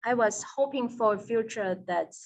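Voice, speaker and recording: A woman from Taiwan with a Taiwanese accent, a seminary student, answering an interview over a video call with slow, careful, non-native English. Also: fairly high pitch.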